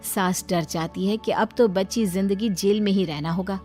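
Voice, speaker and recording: A woman's voice: medium pitch, normal speed, a little mellow, faint music behind. Woman narrating a cartoon story.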